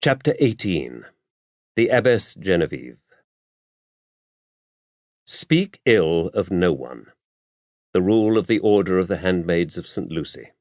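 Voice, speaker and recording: A man reading mystery fiction as an audiobook narrator, in a measured, expressive storytelling style. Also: low voice, no echo. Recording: slightly dull, dry audiobook recording.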